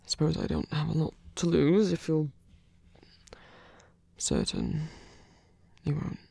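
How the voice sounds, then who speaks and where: A man playing a wary, self-doubting shapeshifting lover in an intimate ASMR roleplay, speaking haltingly in a breathy voice that often drops toward a whisper. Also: on the high side, huge pitch swings, no room sound, heavily acted. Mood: tender, yielding. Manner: hesitant, halting, sighing, softly self-deprecating.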